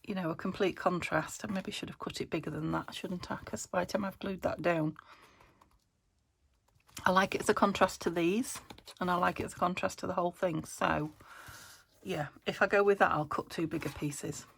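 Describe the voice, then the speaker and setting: A middle-aged woman with a croaky voice from a cold, chatting casually while she crafts and asking little tag questions. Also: on the low side, steady tone, normal speed, slight background noise. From England. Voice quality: gravelly. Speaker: craft vlogger. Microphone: phone camera.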